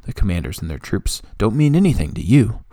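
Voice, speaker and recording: A man's voice: on the low side, big pitch swings, fairly quick, mellow, no echo, clean studio sound. A man voicing a stern army general, scolding and emphatic, in a storybook reading. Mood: angry rebuke. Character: stern, indignant army general rebuking his king.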